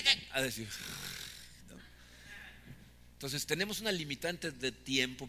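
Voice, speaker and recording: A man's voice: on the high side, pushed and bright, big-room sound, slight background noise. A man, preaching a sermon conversationally, often tagging questions onto his points. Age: 50s. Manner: conversational preaching with frequent "¿no?" tags. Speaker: pastor.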